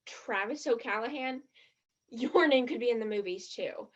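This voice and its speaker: A woman acting a shy, fibbing teenage tourist girl in a stage play, defensive and dreamy, with a husky, breathy voice. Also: on the high side, moderate pace, highs cut off, natural voice. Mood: playful.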